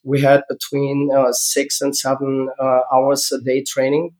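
A man's voice: medium pitch, completely flat delivery, a little mellow, low-quality recording. German-accented man in his fifties. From East Germany. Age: 50s. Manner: halting, searching for English words, frequent fillers. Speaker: guest, former gymnast. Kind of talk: interview storytelling.

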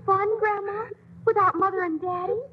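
High-voiced tearful little girl. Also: expressive, moderate pace, dull audio, a little hiss or hum. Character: tearful homesick ten-year-old girl. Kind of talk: 1940s radio acting.

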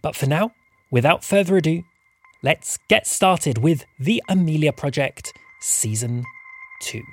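A man's voice: a little high, lively intonation, measured pace, faint music behind. A man hosting a podcast, reading scripted announcements in an upbeat, welcoming manner. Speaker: podcast host.

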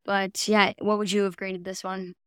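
A woman's voice: mid-range voice, level tone, moderate pace, a bit bright, smooth, dry recording. A woman co-hosting a podcast, chatting casually through a review in an agreeable way. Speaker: podcast co-host.

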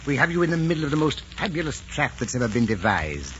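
An actor playing a threatening, scheming noble in theatrical 1940s radio drama style. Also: male voice, medium pitch, sing-song, fast, fairly bright tone. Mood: cold menace. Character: menacing male court noble, scheming enemy. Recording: muffled, hissy 1940s radio recording.

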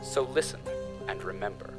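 An actor playing an earnest company broadcast announcer delivering an old-fashioned sponsor message. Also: male voice, on the low side, lively intonation, moderate pace, lightly acted. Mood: solemn, proud. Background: plucked guitar music.

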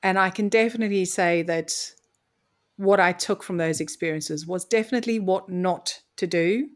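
A woman's voice: fairly low pitch, moderate pace. A woman from Mozambique, telling her story as an interview guest in an articulate, reflective way. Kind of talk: conversational interview.

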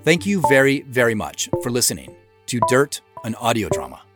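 A man's voice: medium pitch, very animated, fairly quick. A man giving scripted commentary on his audio drama as its creator. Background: music.

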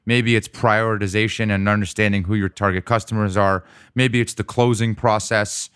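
Smooth, dry-voiced male podcast host. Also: on the low side, fairly even intonation, moderate pace, a bit bright, no room sound. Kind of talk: business podcast interview.

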